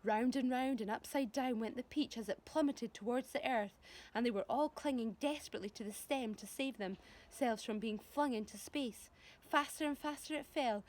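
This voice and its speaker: A woman reading a storybook aloud to children as a teacher would, animated and expressive, speaking directly to them. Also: fairly high pitch. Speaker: primary school teacher reading to pupils.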